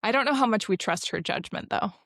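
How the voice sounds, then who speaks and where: A woman co-hosting a podcast, chatting casually with dry, deadpan quips. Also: mid-range voice, fairly quick, no echo. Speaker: podcast co-host. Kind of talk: casual chat.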